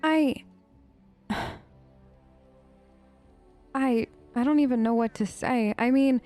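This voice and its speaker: A woman plays through a game, improvising replies in character in her own casual voice. It is fairly high, and she is chatty, rambling and playful, with music behind her. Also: takes it slowly, dark tone. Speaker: gaming let's-player. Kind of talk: casual improvised role-play commentary.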